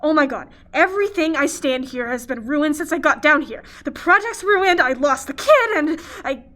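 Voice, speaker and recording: A woman's voice: high-pitched, animated, normal speed, fairly bright tone. A young woman acting in a radio play as an anxious, guilt-ridden scientist. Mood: upset. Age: young adult.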